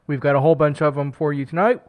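A man with a slightly higher voice and a smooth, resonant tone hosts a local radio music hour. He talks in a chatty, upbeat way, stumbling over a few words. He is fighting a cold, speaking into a studio broadcast mic. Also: fairly even intonation, fast, narrow, tinny audio. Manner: upbeat, chatty, self-deprecating, with fillers and restarts. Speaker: radio host. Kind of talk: community radio show hosting. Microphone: studio broadcast microphone.

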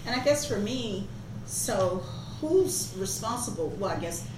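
A woman hosting a radio talk show, with a soft voice and a conversational way of asking questions. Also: medium pitch, expressive, measured pace, background noise.

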